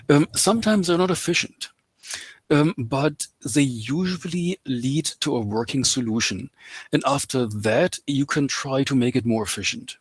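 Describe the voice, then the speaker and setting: A man lectures in English that is not his first language, pausing often with ums and uhs. His voice is slightly husky and mid-pitched. The sound is dry and close, like a call mic. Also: no room sound. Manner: hesitant, many ums and uhs. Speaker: guest lecturer. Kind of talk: open lecture over video call. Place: home in Canada.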